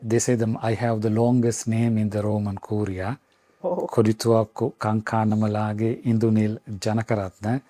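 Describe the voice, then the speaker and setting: Halting low-voiced man from Sri Lanka. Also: little pitch movement, mellow. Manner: halting, many fillers and restarts. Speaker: Vatican official interviewed. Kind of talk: reflective interview answers.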